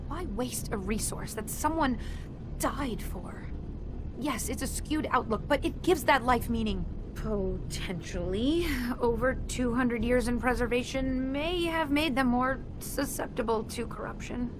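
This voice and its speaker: A woman acting an earnest, socially awkward robot inventor in a video game, halting and defensive. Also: a little high, music in the background, lightly acted, rough recording. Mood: reluctant, pensive. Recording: clear background noise and ambience.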